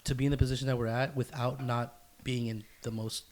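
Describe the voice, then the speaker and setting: A man's voice: mid-range voice, level tone, a little fast, slight background noise. Young male podcast host, mid-range voice. Casual storyteller, slangy, sweary, repeats phrases. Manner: slangy, profane, repeats himself for emphasis.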